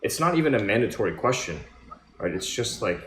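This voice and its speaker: Low-voiced male host in echoey room. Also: brisk, a little hiss or hum. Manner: relaxed, reflective, asks friendly questions. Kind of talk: casual chat.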